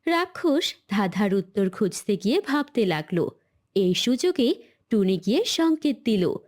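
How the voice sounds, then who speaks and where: A woman's voice: mid-range voice, darkish tone. A woman reading dramatic scripted narration for a cartoon story.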